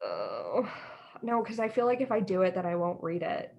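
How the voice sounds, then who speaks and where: A woman's voice: fairly low pitch, wildly swooping pitch, moderate pace, creaky, highs cut off. An American woman co-hosting a podcast in casual, friendly chat, informal and giggly, wandering off into asides. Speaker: podcast co-host.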